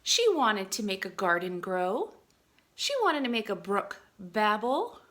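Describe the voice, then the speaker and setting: A woman reading a picture book aloud to children as a teacher, expressively, often dropping into hushed asides. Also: medium pitch, sing-song, unhurried.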